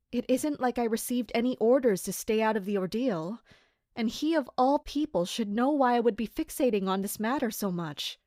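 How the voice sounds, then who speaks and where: A woman playing a dutiful, wary guard captain, speaking and narrating in a formal, measured audio drama style. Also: medium pitch, a little mellow, no echo, clean studio sound, natural voice. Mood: worried. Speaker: narrator and lead character. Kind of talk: scripted first-person audio drama narration.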